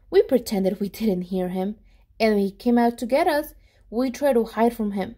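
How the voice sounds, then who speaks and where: A woman with a fairly high voice reads a picture book aloud for children. She is expressive and animated, leaning into dramatic emphasis and speaking to her young listeners as a storyteller. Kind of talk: expressive storybook reading, pausing to ask children questions.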